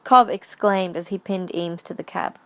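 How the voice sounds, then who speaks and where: A woman's voice: lowish voice, moderate pace. A woman reading scripted story narration for an audio drama.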